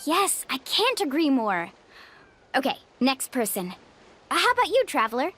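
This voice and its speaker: Young female game guide, high animated voice, cheerful, outgoing, encouraging. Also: a little slow, slight background noise, full character voice. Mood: upbeat, playful. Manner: upbeat, encouraging. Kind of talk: video game voice acting.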